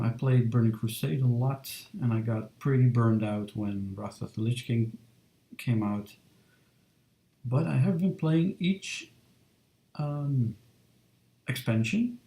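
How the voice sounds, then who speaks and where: A man in his thirties chatting casually on a live stream while he draws, soft and relaxed, with lots of fillers as he reads chat. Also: medium pitch, creaky, roomy sound. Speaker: streamer drawing fan art. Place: home studio room.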